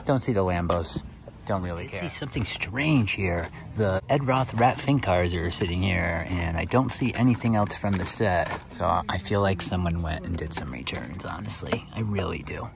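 A man's voice: deep voice, normal speed, vocal fry, noisy background. An American man chatting casually to his viewers as a collecting vlogger, in a laid-back, slangy, rambling way. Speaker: toy car collecting vlogger.

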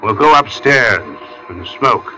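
A man's voice: mid-range voice, expressive, takes it slowly, pushed and bright, soft background music, exaggerated voice, rough recording. An actor playing a plain, companionable working man, a casual host, in a 1940s radio drama. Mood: casual, coaxing.

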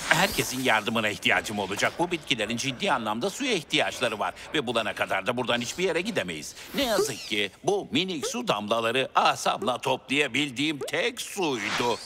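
A kindly little king from a children's cartoon, voiced by a man. His delivery is extremely animated and cheerful, with courteous, grandly stretched words. Music plays clearly behind him. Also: mid-range voice, fairly quick, hardly any pauses, lightly acted. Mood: warm, courteous.

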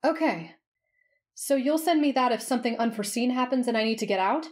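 A woman voicing a playful, teasing young woman infiltrator in an expressive, excitable way for a story narration. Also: on the high side, brisk, voice pushed up, natural voice.

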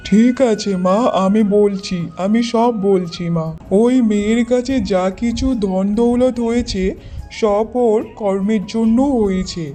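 A woman plays a wise old village hermit man in a fairy-tale cartoon. The voice is soft and mellow, patient and fatherly, with music playing underneath. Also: mid-range voice, steady tone, measured pace, close to an everyday voice. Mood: calm, wise.

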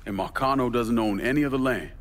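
An actor playing a cool, tough young American war veteran, terse and controlled, in a video game. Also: male voice, medium pitch, fast, close to an everyday voice. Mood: questioning, businesslike. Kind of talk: video game cutscene dialogue.

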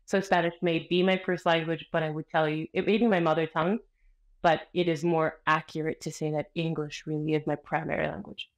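Author guest, woman in her forties, a bit low-pitched, expressive and chatty on a video call. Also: fairly quick. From Canada. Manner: expressive, storytelling, gestures a lot. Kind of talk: podcast interview conversation. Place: small home room with framed art. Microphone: video call webcam.

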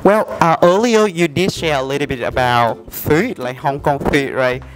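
A man's voice: on the high side, normal speed, a little hiss or hum. Young Vietnamese man presenting. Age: young adult. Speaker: host, English learning presenter. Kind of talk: casual interview and presenting. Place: indoor café-like open room. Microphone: handheld lavalier mic.

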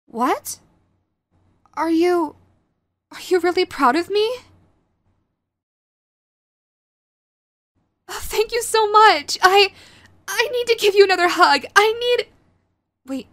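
A woman's voice: high voice, fairly bright tone. A woman playing a young, sweet, clingy yandere girlfriend in an intimate audio roleplay, with a cutesy, hesitant manner. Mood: touched, adoring, then flustered, hesitant. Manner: cutesy, hesitant, gushing pet names. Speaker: voice actress.

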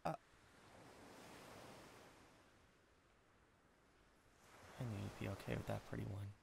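A man's voice: on the low side, little pitch movement, racing, gravelly, background noise. A man voicing a lovestruck, awkward devil king of Hell in an intimate ASMR roleplay, hesitant and stammering. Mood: flustered. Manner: hesitant, stammering, swinging from tender to giddy. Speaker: audio roleplay voice actor.

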